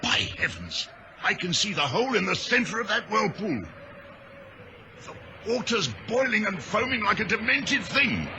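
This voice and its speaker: An actor playing a grave, commanding frigate captain in an old radio drama, speaking with dramatic authority. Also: male voice, high-pitched, very animated, moderate pace. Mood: alarmed. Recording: noisy vintage radio recording.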